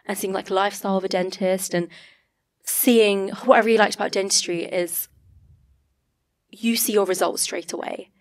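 A woman's voice: medium pitch, fairly even intonation, normal speed, long pauses. A young woman guest on a podcast, a dentist, sounding nasal from a cold as she explains her work earnestly. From Great Britain. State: has a cold. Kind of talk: casual interview chat. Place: podcast studio with purple lighting, indoors. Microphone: studio mic on arm.

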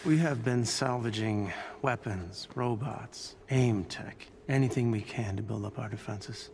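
Gravelly, reserved resistance-leader scientist. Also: male voice, fairly low pitch, a little slow, darkish tone, a little hiss or hum. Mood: calm, welcoming. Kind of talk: video game cutscene acting.

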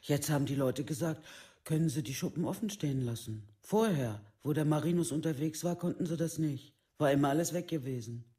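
Casting: male actor as village parent, dark soft-edged tone, colloquial and halting, documentary radio drama. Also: on the high side, close to an everyday voice. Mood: resigned. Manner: colloquial, halting, short sentences. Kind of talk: documentary radio play monologue.

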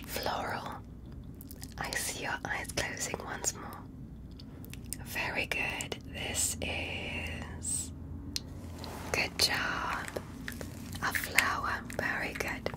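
A woman voicing a gentle alien medical examiner in an ASMR roleplay, soothing, reassuring and politely clinical. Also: very slow, long pauses, poor audio. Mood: soothing, calm.

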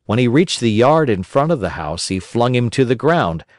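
Expressive male storybook narrator. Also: medium pitch, normal speed, no room sound, clean studio sound. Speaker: audiobook narrator. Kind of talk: scripted children's story narration.

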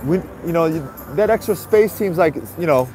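A man's voice: a little high, expressive, normal speed, darkish tone, faint music behind, low-quality recording. A man with a stuffy nose chatting casually to viewers on a live-stream while walking a city sidewalk at night, filmed on a handheld phone. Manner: chatty, repeats greetings, talks to viewers. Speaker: vlogger. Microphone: handheld phone camera.

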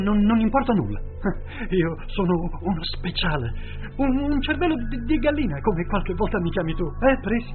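An actor playing a timid, gullible, slow-witted man in an Italian radio drama, stammering and hesitant. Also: high voice. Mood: meek, pleading. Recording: muffled phone-like audio, music behind.

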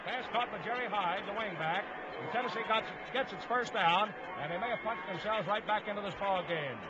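High-pitched 1950s radio football announcer, male. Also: a little fast, over a noisy crowd. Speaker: play-by-play sports announcer. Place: stadium broadcast booth. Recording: dull, noisy 1950s radio broadcast.

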